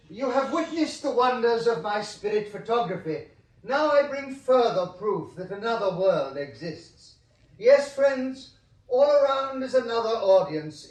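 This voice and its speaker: A man's voice: very high voice, darkish tone. An actor playing a pompous Victorian showman professor who introduces a medium with grand theatrical announcing, in a radio drama. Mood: grandiose. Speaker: master of ceremonies at a seance. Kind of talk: 1980s radio drama acting. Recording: echoey stage sound from a distance.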